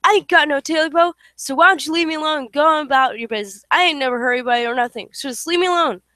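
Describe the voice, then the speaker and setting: A woman voicing a stubborn old mountain man who hollers in rough, folksy speech. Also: high-pitched, very fast, bright, forceful tone, no room sound, studio quality, voice pushed up. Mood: frightened hollering.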